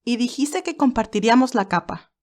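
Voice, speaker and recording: A woman's voice: fairly high pitch, expressive, fairly quick, a little mellow, voice pushed up, natural voice. Woman acting a girlish, emphatic, feisty young nurse. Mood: teasing, incredulous. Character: Candy, sweet, feisty young nurse, easily moved and teasing.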